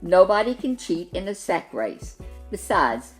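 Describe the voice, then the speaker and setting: A woman's voice: lowish voice, big pitch swings, measured pace, husky, faint music behind, heavily acted, rough recording. A woman voicing an eager female dinosaur friend in a storybook, with an emphatic manner. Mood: cheerful.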